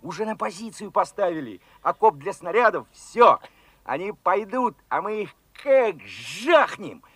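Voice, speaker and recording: A very high, bright voice as a young revolutionary soldier at the front. He is fervent and eager, speaking in plain folksy words and firing off questions, in the manner of Soviet radio drama. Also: unhurried, slightly rough, no room sound, full character voice. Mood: excited. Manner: excited, colloquial, eager questioning. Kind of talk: Soviet radio play acting.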